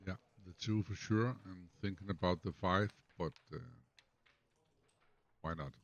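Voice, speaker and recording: A man's voice: deep voice, a little slow. A man commenting on his own backgammon match, thinking aloud in English, which is not his first language. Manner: analytical, muses over moves half to himself. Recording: dull lo-fi recording.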